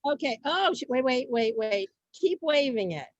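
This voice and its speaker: A woman's voice: high voice, expressive, normal speed, dry recording, muffled recording. A woman informally hosting a live online event, flustered and often repeating her phrases. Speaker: host. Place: indoors, on a video call. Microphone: video call.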